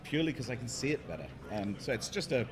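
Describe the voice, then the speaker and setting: A man's voice: medium pitch, sing-song, fast. A middle-aged man with an English accent, a startup CEO explaining his work in an interview. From England. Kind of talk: conference interview. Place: busy hotel conference hall, indoors. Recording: noisy crowd in a conference hall. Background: crowd chatter.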